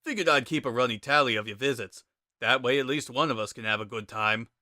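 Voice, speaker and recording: A man's voice: medium pitch, fast, bright, forceful tone, dry recording, clean studio sound. A man voicing a laid-back, wry joker with a casual, sardonic drawl in a dramatic story reading. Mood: teasing. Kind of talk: dramatic reading of fan fiction.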